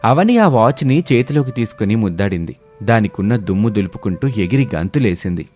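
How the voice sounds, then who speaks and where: A man's voice: lowish voice, very animated, a little fast, a little mellow, soft background music. A man reading a scripted family story as a dramatic narrator.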